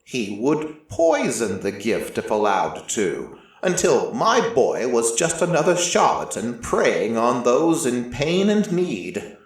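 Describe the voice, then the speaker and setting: A man narrating dramatic first-person fiction in a deliberate, theatrical storytelling manner. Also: mid-range voice. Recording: very echoey, distant from mic.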